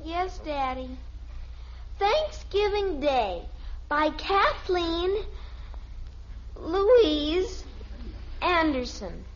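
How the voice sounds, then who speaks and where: Very high-voiced, earnest little girl. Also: big pitch swings, exaggerated voice. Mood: dutiful, reciting. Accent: American. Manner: deliberate schoolroom recital. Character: nine-year-old girl, earnest, prim little daughter. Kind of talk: 1950s American radio sitcom acting. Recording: muffled, slightly noisy vintage radio recording.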